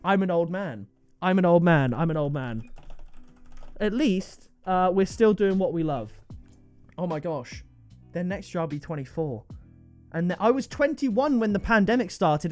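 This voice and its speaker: Excitable young man gaming. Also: normal speed, soft background music. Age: 20s. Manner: excitable, jumps to high-pitched disbelief. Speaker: video game trading streamer. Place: gaming room indoors.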